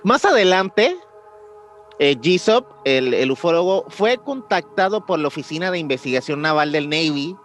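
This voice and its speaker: A young Mexican-accented man tells a mystery story in loose, slang-heavy chat, stopping to act out voices in quotes. His voice sits a bit high. A soft music bed plays underneath. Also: animated, normal speed. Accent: Mexican Spanish. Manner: slangy, says "güey" often, acts out voices in quotes. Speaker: podcast co-host. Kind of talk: casual chat retelling a mystery.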